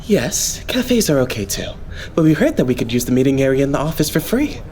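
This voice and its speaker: A woman voicing a calm, polite young male idol in an anime-style fan dub, speaking reasonably. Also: extremely low pitch, brisk, a little hiss or hum. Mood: polite, practical.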